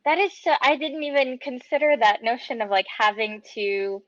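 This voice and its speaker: A woman's voice: on the high side, moderate pace, no room sound, lo-fi sound. A woman hosting a casual online interview, with an enthusiastic, friendly manner. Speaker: interviewer, host.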